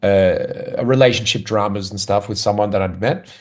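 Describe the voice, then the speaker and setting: A man's voice: lowish voice, moderate pace. A young adult man with an Australian accent, chatting casually as a guest and talking about himself with an easy, self-deprecating humor that breaks into laughs often. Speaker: guest, comedian. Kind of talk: casual conversational interview.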